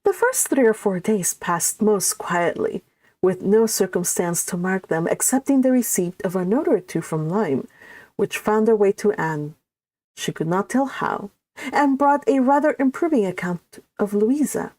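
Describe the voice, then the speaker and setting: Female read-along host, mid-pitched, classic fiction with chatty, expressive asides, dry recording. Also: measured pace, no room sound. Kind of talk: reading a classic novel aloud with casual asides.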